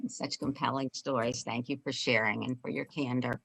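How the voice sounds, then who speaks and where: A woman's voice: rumbling low, fairly even intonation, normal speed, vocal fry, fairly bright tone, slightly rough, low-quality recording. A woman moderating an online panel, upbeat and formal as she introduces the panelists and poses questions.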